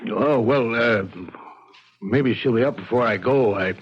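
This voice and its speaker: A man playing a tough, shrewd police lieutenant in a 1970s radio drama, husky, blunt and deliberate, on a muffled recording. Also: medium pitch, close to an everyday voice. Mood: casual, probing.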